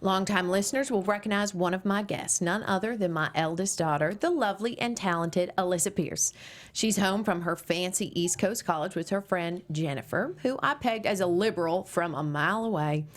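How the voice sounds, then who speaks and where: A woman's voice: lowish voice, close to an everyday voice. Woman reading a chipper conservative radio-host mom. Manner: brisk, chipper and prying. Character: conservative middle-aged mother hosting talk radio. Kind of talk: table read.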